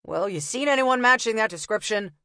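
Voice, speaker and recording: A woman voicing an impatient, out-of-breath male police officer who is gruff and exasperated. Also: on the high side, animated, a little fast, pushed and bright, no echo, clean studio sound.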